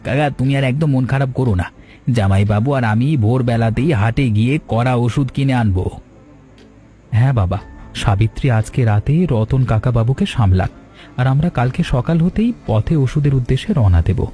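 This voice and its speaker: A man's voice: medium pitch, brisk, mellow, close to an everyday voice. A man playing an earnest, dutiful and caring village farmer in a cartoon, speaking respectfully. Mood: earnest reassurance. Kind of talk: cartoon dialogue acting. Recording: faint music under voice. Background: faint music.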